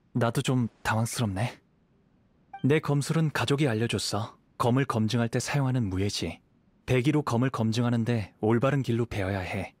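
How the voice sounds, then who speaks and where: An actor playing a modest young wandering samurai in a game, calm, polite and reflective. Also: male voice, mid-range voice, no echo, close to an everyday voice. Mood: puzzled, reflective. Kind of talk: game voice acting. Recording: music behind the voice.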